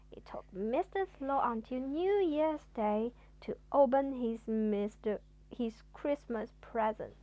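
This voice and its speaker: A woman's voice: fairly high pitch, no room sound. A woman reads a children's storybook expressively, like a mother at bedtime, drawing out words for theatrical emphasis. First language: Chinese.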